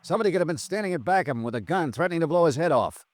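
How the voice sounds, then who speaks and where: An actor playing a wry, tough insurance investigator in an old-time radio noir drama, clipped and sardonic, who also narrates. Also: male voice, on the high side, expressive, racing, dry recording, natural voice. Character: wry, hard-boiled male insurance investigator.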